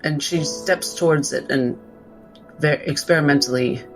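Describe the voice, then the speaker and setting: A man improvising narration for his tabletop game character in a deliberate, halting, thoughtful way. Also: on the high side, low-quality recording. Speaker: tabletop role-playing player. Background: soft background music.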